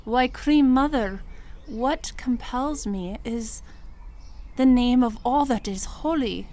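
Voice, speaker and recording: A woman playing a gentle, devout young queen in an audio drama, formal, measured and pleading. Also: a little high. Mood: humble, firm. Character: gentle, devout young medieval queen, humble yet steadfast. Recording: music under the voice.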